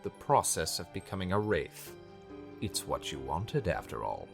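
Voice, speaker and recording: A man playing a grave, formal high cleric and mentor in a dark fantasy visual novel, with measured delivery. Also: big pitch swings, creaky, heavily acted. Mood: reassuring, solemn. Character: male vampire high cleric, grave mentor, deferential servant. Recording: music behind the voice.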